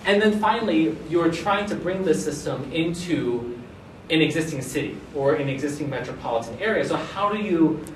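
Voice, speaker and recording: A man's voice: a little high, lively intonation, normal speed, a little hiss or hum. Man lecturing in an echoey room. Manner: casual, frequent "right?" checks and restarts. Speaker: visiting researcher giving a seminar. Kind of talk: academic seminar talk. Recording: echoey room, mic at a distance.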